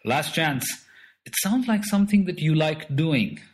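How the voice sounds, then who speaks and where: A man's voice: on the high side, animated, unhurried, voice pushed up. A man voicing a boastful little alien trickster who is playful, bossy and fond of made-up words, in a storybook. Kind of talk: storybook character voice.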